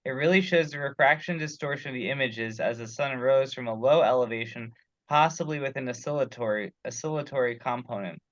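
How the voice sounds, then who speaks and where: A man's voice: mid-range voice, fairly quick, fairly bright tone, no room sound. Man on a muffled conference call. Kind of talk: casual technical discussion. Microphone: teleconference call.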